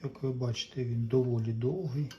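A man's voice: mid-range voice, steady tone, a little fast. A man giving casual hands-on repair commentary, thinking aloud hesitantly as he works. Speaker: repair hobbyist presenting his own restoration. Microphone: handheld camera mic. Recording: dull sound with faint background noise.

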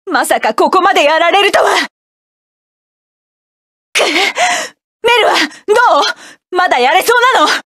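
A proud young woman pilot barks sharp, defiant commands in battle in a game. Her voice sits very high, and the studio recording is close and completely dry, with no room sound. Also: very animated, a little slow, long pauses, dry recording, heavily acted. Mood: fierce, defiant. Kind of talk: game character voice lines.

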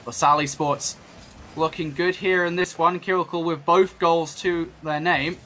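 British male caster, crisp bright voice, chatty play-by-play, light hiss behind. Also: a little high, steady tone, a little slow. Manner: chatty, self-deprecating, jokey asides. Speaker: esports caster. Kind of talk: esports play-by-play commentary.

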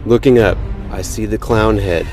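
A man's voice: on the low side, fairly even intonation, normal speed, darkish tone. A man narrating a first-person horror story in a dramatic, suspenseful storytelling manner. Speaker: podcast host and narrator. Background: music behind the voice.

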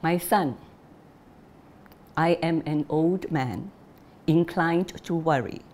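Woman voicing an anxious old father's letter, slow, low, earnest. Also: a little mellow, a little hiss or hum. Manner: earnest, measured letter reading. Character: worried old father writing to his son.

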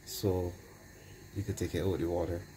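A man's voice: low voice, flat delivery, fairly quick, mellow. A man with a Barbadian accent casually explaining cooking steps as a home cook. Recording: noticeable background noise.

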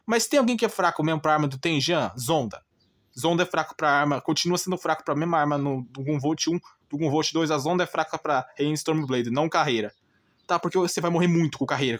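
A man with a Brazilian Portuguese accent gives an informal, blunt rant, exasperated and repeating himself with rhetorical questions. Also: a little high. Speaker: gaming channel host.

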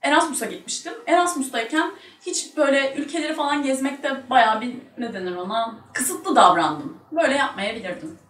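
A woman's voice: high-pitched, fairly quick, a bit bright. A woman in her thirties chatting casually as a talk show guest. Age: 30s. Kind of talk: casual chat. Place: small indoor studio set with curtain. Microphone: one shared table microphone, off from mouth. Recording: echoey room, distant microphone.

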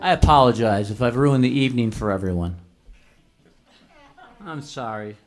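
A man's voice: medium pitch, normal speed. A middle-aged man from Minnesota doing casual, self-mocking stage banter into a stand mic on a small studio stage, with guitar playing behind him. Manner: joking, self-mocking, lively. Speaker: band member. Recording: live stage recording with music behind.

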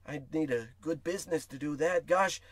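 A man acts out a hapless radio-play shopkeeper with a high-pitched male voice. He is folksy, eager to please and whiny, recorded close and dry. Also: moderate pace, no echo, close to an everyday voice. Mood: envious. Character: hapless, self-pitying, envious small-town shopkeeper. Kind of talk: comic radio play acting.

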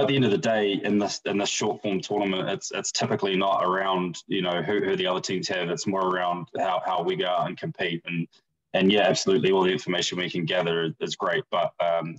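A man, a baseball team manager, answering questions on a video call in a measured way, often repeating words as he thinks. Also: low voice, a bit bright, breathy edge. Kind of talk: casual interview on a video call. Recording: muffled video call audio.

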